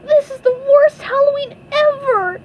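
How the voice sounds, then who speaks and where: A woman voicing an excitable young male cartoon sea sponge with a squeaky, high-pitched voice. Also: a little slow, exaggerated voice. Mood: tearful, dejected. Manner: excitable, exclaiming. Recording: dull lo-fi, faint background noise.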